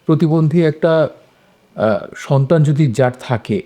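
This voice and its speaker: A man speaking in a casual discussion, halting and pausing between phrases. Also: fairly high pitch, dark tone.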